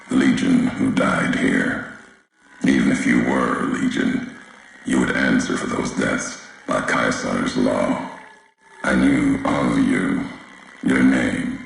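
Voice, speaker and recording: A man voicing a solemn, brooding wasteland courier in a video game, very deep and gravelly, speaking in slow, cryptic, clipped fragments. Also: very echoey, slight background noise, full character voice. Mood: grave, accusing. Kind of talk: video game character monologue.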